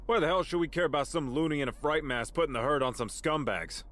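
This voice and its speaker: Man acting as mouthy, higher-pitched cop. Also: quick pace, a bit bright, natural voice. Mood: scornful. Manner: challenging, colloquial. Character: cynical, mouthy street police officer. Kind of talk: audio drama acting.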